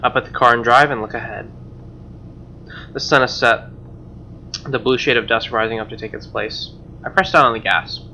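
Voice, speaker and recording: Bright-voiced male streamer reading a game aloud. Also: mid-range voice, normal speed, pauses often, slight background noise. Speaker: let's play streamer. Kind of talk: reading game narration aloud with casual commentary. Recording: dull lo-fi mic, game music behind. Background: game music.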